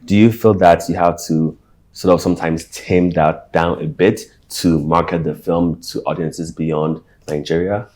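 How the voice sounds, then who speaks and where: A male talk show host with a very low voice. He asks his guest curious, measured questions in a calm interviewing manner.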